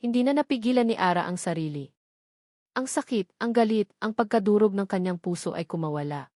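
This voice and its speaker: A woman's voice: medium pitch, animated, fairly quick, warm tone, no echo, studio quality. A woman with a Filipino accent reading a scripted, dramatic love story as its narrator. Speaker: narrator of audio love stories.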